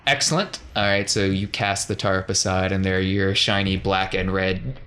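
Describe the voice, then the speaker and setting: A man's voice: low voice, steady tone, normal speed, pushed and bright. A man working as game master, narrating and refereeing a tabletop game in a casual, encouraging way.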